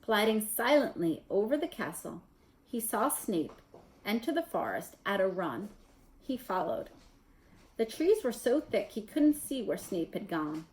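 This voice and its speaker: A woman reading a children's novel aloud as narrator, in an expressive storytelling style. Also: mid-range voice, a little slow, rough recording. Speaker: story reader.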